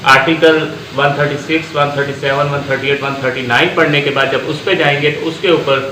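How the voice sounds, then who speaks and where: A man with a Pakistani accent giving an explanatory law lecture, deliberately repeating phrases for emphasis and switching into English terms. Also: fairly high pitch, steady tone, pushed and bright. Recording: echoey room with faint background noise.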